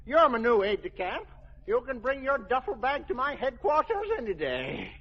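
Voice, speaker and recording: An actor playing an eccentric old military general in radio comedy, folksy and rambling, with a cracked codger's voice. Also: male voice, very high-pitched, very animated, moderate pace, slightly rough, big-room sound, full character voice. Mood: smitten. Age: older. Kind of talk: 1940s radio comedy acting. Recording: noisy, dull old radio recording.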